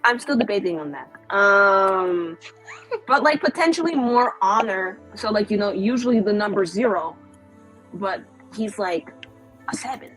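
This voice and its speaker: A woman's voice: mid-range voice, lively intonation, measured pace, a bit bright, soft background music, rough recording. A young woman playing a tabletop roleplaying game, chatting in character with lots of fillers and giggles. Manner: rambling, filler-heavy, giggly. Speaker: player.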